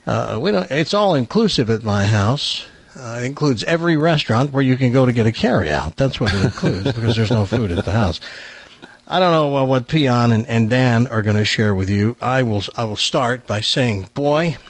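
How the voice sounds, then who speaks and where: Male radio host, husky, conversational and wry, close dry sound. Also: medium pitch, lively intonation, moderate pace, no echo. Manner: joking, rambling, conversational. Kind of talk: casual talk show chat.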